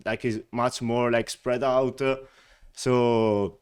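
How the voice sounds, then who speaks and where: An Italian man with an Italian accent talks in a relaxed, chatty way. His voice is smooth and clear, and he sits at a mid pitch for a man. He laughs often and fills his pauses with "uh" and "like". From Italy, Veneto. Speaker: wine company representative, guest. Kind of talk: casual interview chat.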